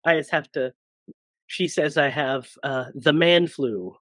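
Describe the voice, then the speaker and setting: A man in his thirties hosting a casual podcast, hoarse from a cold and joking around with his co-hosts. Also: on the high side, measured pace, fairly bright tone, no room sound. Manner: joking, laughs often. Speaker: podcast host.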